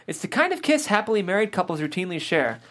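Low-voiced woman reading stage directions. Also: very animated, fast, fairly bright tone. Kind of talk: scripted narration of stage directions.

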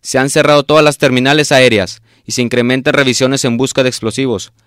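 A man narrating a scripted radio drama in a solemn, measured announcing manner. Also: medium pitch, steady tone, a bit bright, clear, resonant tone, dry recording, clean studio sound.

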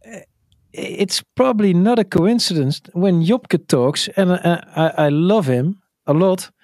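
A man's voice: high-pitched, measured pace, darkish tone, no room sound, clean studio sound. A man teasing his co-hosts in short, playful remarks on a podcast. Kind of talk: casual podcast banter.

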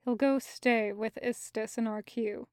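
A woman's voice: a little high, fairly even intonation, measured pace, dry recording, studio quality. A woman reading scripted fiction as the narrator of an audio story. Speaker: podfic narrator.

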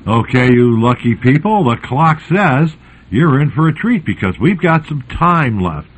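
Male announcer, vintage radio, animated delivery, slight background noise. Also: medium pitch, measured pace. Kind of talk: old-time radio announcing. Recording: faintly noisy vintage radio recording.

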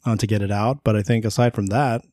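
Chatty lowish-voiced man in his thirties. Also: fairly even intonation, fast talker, dark tone, no room sound, clean studio sound. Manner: chatty, reassuring, laughs often. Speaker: podcast co-host. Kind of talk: casual chat.

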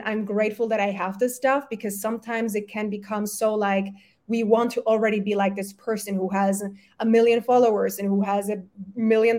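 A woman's voice: a little high, a little mellow. A woman whose first languages are German and Polish, speaking as a guest health coach in a casual podcast conversation, earnest and motivational, often correcting herself mid-phrase.

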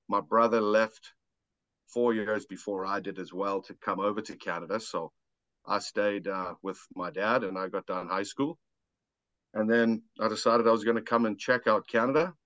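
A man's voice: fairly low pitch, a bit bright, no echo. A South African-accented man telling personal stories casually as a podcast guest, laid-back and self-deprecating. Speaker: podcast guest, amateur golfer. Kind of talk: casual chat, personal storytelling. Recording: dull lo-fi dry audio.

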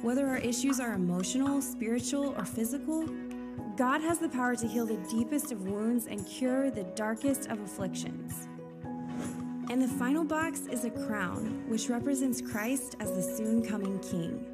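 Female voice-over, scripted and upbeat, slightly high, with loud music underneath. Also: normal speed. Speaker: video narrator. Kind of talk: scripted narration.

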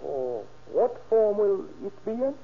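High-pitched, obedient male courier. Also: big pitch swings, unhurried, vocal fry, heavily acted. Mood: deferential, uncertain. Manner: deferential, questioning. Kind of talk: old-time radio crime drama acting. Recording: muffled, narrow old radio recording.

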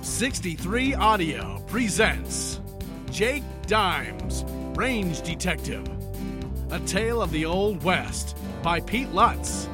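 A man with a high voice narrates in the style of an old radio Western. His storytelling is scripted and folksy, with prominent music underneath. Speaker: announcer and narrator.

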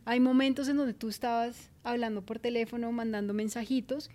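A young woman co-hosts a casual podcast in a slightly higher voice. She talks calmly and explains her side in a measured, reasoning way coming through plainly. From Colombia. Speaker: podcast co-host. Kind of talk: casual chat.

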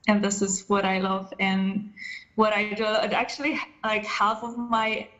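A woman in her thirties from Poland, a podcast guest answering questions about herself with many pauses and restarts. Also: mid-range voice, level tone, fairly bright tone, highs cut off. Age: 30s. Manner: hesitant, many ums and restarts. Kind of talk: casual interview answers.

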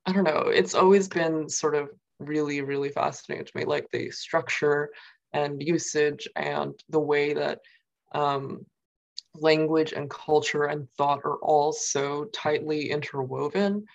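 Young New York woman, gravelly voice, rambling interviewee, slightly dull lo-fi sound. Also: sits low, fairly even intonation, measured pace. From New York, USA. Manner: rambling, many fillers and "like". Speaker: guest poet. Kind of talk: casual interview.

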